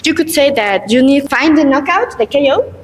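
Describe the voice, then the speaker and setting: A woman's voice: high-pitched, expressive, normal speed, in a large hall, slight background noise. A woman interviewer asking questions hesitantly, searching for words. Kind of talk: interview questions in a second language.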